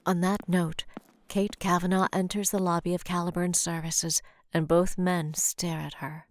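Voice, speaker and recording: A woman's voice: lowish voice, little pitch movement, a little slow. A woman narrator delivering dramatic scripted narration for an audio story.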